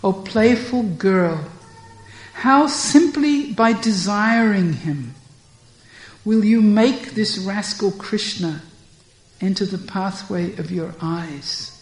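A woman's voice: mid-range voice, close to an everyday voice. Woman voicing a stern older matron. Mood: gentle. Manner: scolding, measured questions. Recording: echoey room.

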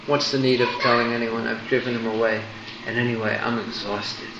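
A man voicing a tired, low-voiced warrior. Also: level tone, brisk, highs cut off, background noise, close to an everyday voice. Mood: weary. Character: exhausted loyal warrior. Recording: echoey room.

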